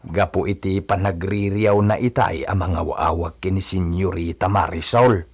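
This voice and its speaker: A concerned village manservant played by a man talks in a low, rough-edged voice. His delivery is earthy and plain-spoken, as in a radio comedy drama. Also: fairly even intonation, normal speed. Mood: gossiping.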